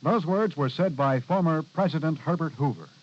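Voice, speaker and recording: A man reading a solemn scripted public service message in a formal, measured, declamatory mid-century radio style. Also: fairly high pitch. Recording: dull vintage radio recording.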